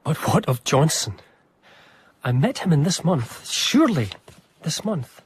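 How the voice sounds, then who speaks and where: An actor playing an eager, reverent young gentleman diarist in a radio drama, speaking formally and effusively. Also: on the high side, big pitch swings, a little slow, husky, exaggerated voice. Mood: musing, eager. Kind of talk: radio drama dialogue and diary narration.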